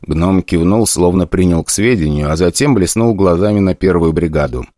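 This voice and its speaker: A man narrating a fantasy audiobook in an expressive, ironic storytelling manner. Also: sits low, normal speed, dry recording, studio quality, close to an everyday voice.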